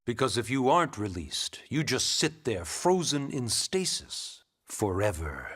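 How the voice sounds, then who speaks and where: A man playing a wry, formal, gently pushy government secretary in a video game cutscene, courteous and smug. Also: medium pitch, very animated, measured pace, husky, heavily acted. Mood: wry, persuasive. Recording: loud music behind the voice.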